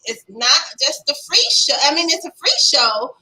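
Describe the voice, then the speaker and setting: A woman hosting a live online chat show, talking in an emphatic, lively way. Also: high voice, normal speed, crowd noise, low-quality recording. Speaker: talk show host.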